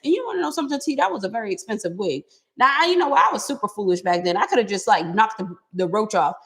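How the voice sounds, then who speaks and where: A young woman from Boston with a Boston accent, co-hosting a live stream, telling long-winded, animated stories and laughing often. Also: mid-range voice, quick pace. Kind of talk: casual chat.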